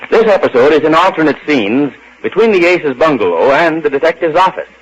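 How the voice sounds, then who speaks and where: A man's voice: fairly high pitch, big pitch swings, brisk, slightly rough. A man announcing an old-time radio serial in scripted narration. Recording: muffled old radio recording.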